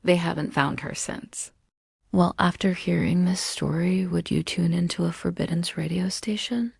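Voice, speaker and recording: A woman storyteller hosting and narrating a scripted horror story in a measured, deliberate way with dramatic pauses. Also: on the low side, vocal fry, a little mellow, breathy edge, no room sound, clean studio sound. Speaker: storyteller and channel host.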